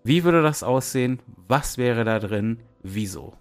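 A man's voice: mid-range voice, animated, a little slow, clean tone, faint music behind. A man chatting casually as co-host of a podcast.

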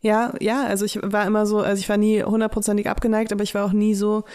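Woman in her late twenties, podcast co-host, reflective and colloquial, medium pitch. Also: little pitch movement, quick pace, darkish tone. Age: 20s. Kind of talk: casual podcast chat.

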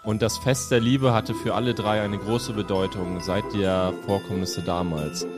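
Casting: male comedy narrator, lowish voice, mock-solemn storyteller, jingle-bell music behind. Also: fairly even intonation, moderate pace. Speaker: narrator, comedy podcast host. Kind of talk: improvised storytelling comedy audio play. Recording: music under the voice. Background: music with jingle bells.

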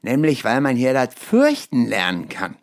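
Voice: medium pitch, wildly swooping pitch, normal speed. A performer playing a cocky, fearless and simple young miller's son in an amateur audio drama, talking in a breezy, colloquial way. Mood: boastful, unbothered.

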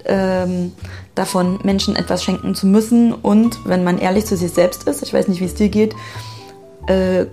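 A woman's voice: medium pitch, fairly even intonation, normal speed, mellow, faint music behind. A woman hosting a homemade audio piece, talking casually and reflectively.

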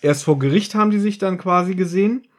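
A man's voice: high voice, quick pace. A man hosting a podcast, chatting casually as he recaps an audio drama. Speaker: podcast host.

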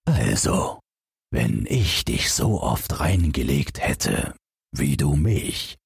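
A man voicing a huge, gloating, menacing storybook giant with slow, drawn-out delivery. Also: rumbling low, very animated, vocal fry, breathy edge, no room sound, clean studio sound, full character voice. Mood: sly, gloating.

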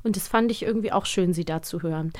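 A woman's voice: lowish voice, fast talker. A youthful-sounding woman co-hosting a podcast in casual, colloquial chat. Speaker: podcast host and voice actress.

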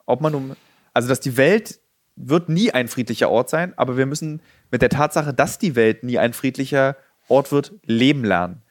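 A man's voice: medium pitch, moderate pace, dry recording, studio quality. A man hosting a casual podcast conversation, speaking in a rambling, reflective way and sometimes acting out other voices. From Berlin. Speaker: podcast host.

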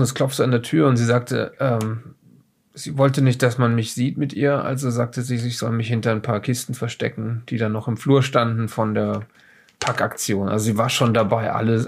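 A man acts out a male witness being questioned by police in an audio drama. His mid-pitched voice has a gravelly creak. He hesitates, corrects himself and grows indignant and snobbish as he explains himself. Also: darkish tone. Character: nervous, evasive, haughty male witness under police questioning. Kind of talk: improvised in-character audio drama dialogue.

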